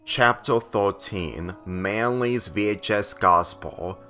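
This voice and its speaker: A man narrating a written story aloud in a slightly halting way. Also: fairly low pitch, loud music behind the voice. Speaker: fan fiction reader.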